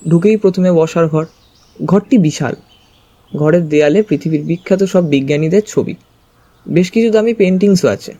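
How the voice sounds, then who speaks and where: Male narrator, high voice, soft warm timbre, expressive first-person audio story reading. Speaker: narrator and detective's companion.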